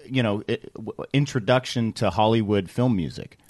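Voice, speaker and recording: A man hosting a podcast, interviewing casually with informal, halting phrasing. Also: on the low side, a little mellow, no room sound. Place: production studio.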